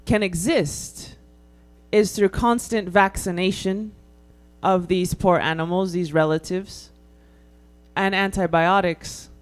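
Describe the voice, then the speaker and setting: A woman answering a panel question in a hesitant, quiet way, with many pauses and ums. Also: mid-range voice, smooth.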